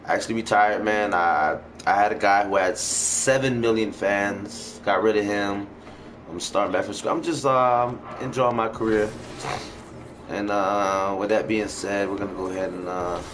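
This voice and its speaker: A man hosting a gaming livestream, chatting casually in slangy, profane language. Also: fairly low pitch. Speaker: game livestream host. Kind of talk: casual gaming stream chat. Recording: noisy home stream with game ambience.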